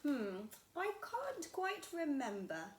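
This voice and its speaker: A big monster father from a storybook, voiced by a woman. He speaks slowly and deliberately. The room sounds echoey, with a faint hum behind. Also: high-pitched, wildly swooping pitch, voice pushed up, full character voice. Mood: storytelling. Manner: slow and deliberate. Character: big male monster father, slow and gruff.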